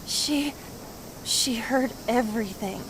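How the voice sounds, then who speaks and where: A woman's voice: fairly high pitch, slow pace, noisy background. A woman playing a candid, uneasy teenage girl in an English game dub. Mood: uneasy, doubtful. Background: music.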